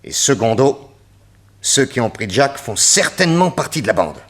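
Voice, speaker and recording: An actor playing a confident, bold detective chief who reasons deliberately in a French radio drama. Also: male voice, mid-range voice, very animated. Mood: calm deduction. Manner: deliberate, reasoning, self-assured.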